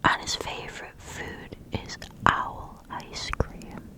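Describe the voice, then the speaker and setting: A woman whispering the lines of a small, clever brown mouse in a storybook. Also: slow. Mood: polite, sly. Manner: soft whisper.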